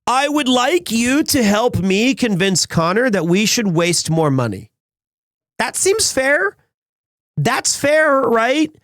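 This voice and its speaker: Male streamer, 30s, a bit hoarse, high-pitched, rambly, dry sound. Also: slow pace, no room sound. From Wales. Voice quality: slightly raspy. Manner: rambling, restarts often, excitable. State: slightly hoarse after being sick. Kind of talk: casual live-stream chat.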